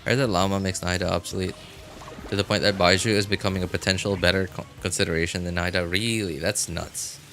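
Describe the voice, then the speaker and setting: Male game streamer, slightly low pitch, bright forward tone, chatty and rambling, music behind. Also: fairly even intonation, fast talker. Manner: rambling, repeats phrases while thinking aloud. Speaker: game livestreamer. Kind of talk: casual live gameplay commentary, chatting with viewers.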